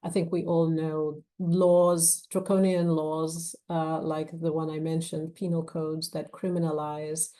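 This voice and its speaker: A woman speaking as a guest author at a live book event, in a measured, pausing way. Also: low-pitched, level tone, mellow. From Zambia. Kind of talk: live author talk and reading from a novel.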